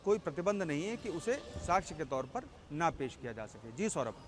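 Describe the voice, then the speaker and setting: A man, a senior correspondent giving a deliberate, explanatory live report. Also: a little high, big pitch swings, soft background music.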